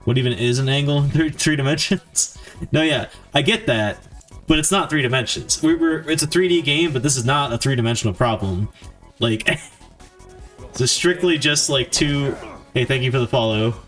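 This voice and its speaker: A man's voice: medium pitch, fairly bright tone. Self-deprecating male streamer, music behind him. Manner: informal, self-deprecating, halting thinking aloud. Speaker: game developer streamer. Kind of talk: casual live-stream chat while coding.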